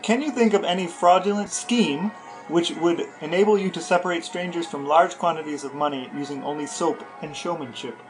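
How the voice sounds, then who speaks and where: A man's voice: fairly high pitch, brisk, faint music behind, poor audio. A man co-hosting a podcast with his brother, reading out lists and asking playful questions in casual chat. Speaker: podcast co-host.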